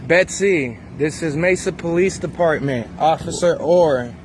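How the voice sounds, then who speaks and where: Loud confrontational man filming on the street. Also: a little high, a little slow, a little hiss or hum. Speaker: police-watch activist filming officers. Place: outdoors on a city street. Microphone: handheld phone.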